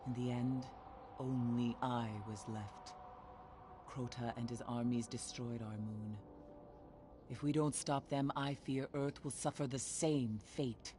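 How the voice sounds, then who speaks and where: A woman's voice: rumbling low, steady tone, slow pace. An actress playing a grave, haunted female survivor, giving solemn and foreboding briefings in a video game. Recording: loud noise behind the voice.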